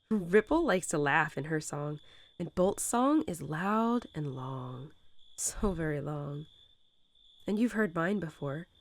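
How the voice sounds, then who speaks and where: A woman voicing a gentle, nurturing mother wolf who talks softly and unhurriedly to her newborn pups in a bedtime roleplay audio. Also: mid-range voice, no room sound. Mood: tender, encouraging. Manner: tender, unhurried, lulling storytelling.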